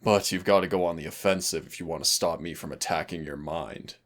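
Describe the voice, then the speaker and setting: A man's voice: sits low, fairly quick, a bit bright. A man playing a smug, predatory demon trainer who taunts and commands in a scripted roleplay audio. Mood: commanding, taunting. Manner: taunting, commanding, mock-courteous. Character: teasing, predatory male demon hunter-trainer, smug and dangerous.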